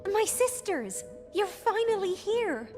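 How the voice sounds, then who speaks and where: An actress playing a young, earnest, devoted sea siren in a fantasy game, emotive and theatrical. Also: female voice, extremely high pitch, very animated, slow pace, music in the background, heavily acted. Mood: overjoyed. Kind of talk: theatrical scripted game voice acting.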